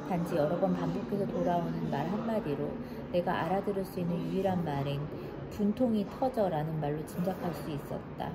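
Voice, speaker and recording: A woman narrates a literary novel in a calm, steady voice that sits low for a woman. The recording carries a loud, constant hiss of background noise behind her. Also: fairly quick, few pauses. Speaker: audiobook narrator.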